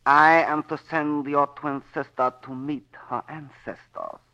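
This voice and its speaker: A man's voice: a little high, fairly even intonation, measured pace, bright, forceful tone. An actor playing a courteous, principled hired man in a 1940s radio drama, speaking formally and deferentially in clipped phrases. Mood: solemn, polite. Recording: dull vintage 1940s radio recording.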